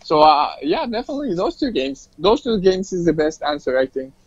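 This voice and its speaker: A man's voice: on the high side, sing-song, fairly quick, breathy edge, dry recording, lo-fi sound. A man who speaks English as a second language, giving casual answers as a guest player in a gaming interview. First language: not English. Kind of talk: casual interview answers about a fighting game.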